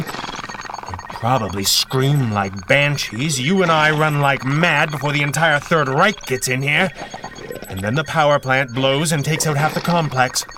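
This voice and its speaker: A cool-headed masked crimefighter from a 1930s radio adventure, played by a man, speaks in measured, articulate lines with a wry edge. His pitch sits in the middle, and there is clear background noise around him. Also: lightly acted. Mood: brisk, wry. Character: Red Panda, cool-headed masked 1930s male superhero. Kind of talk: 1930s radio serial style acting. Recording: clearly noisy background ambience.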